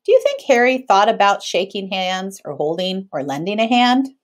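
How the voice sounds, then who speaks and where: A woman in her thirties reading a picture book aloud to children in an expressive, teacherly way. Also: medium pitch, moderate pace. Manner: teacherly, checks in with listeners.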